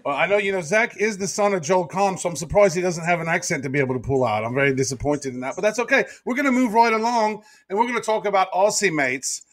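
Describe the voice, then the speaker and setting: A man's voice: high-pitched, fast, few pauses. A man hosting a podcast, teasing and joking in casual banter. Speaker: podcast host.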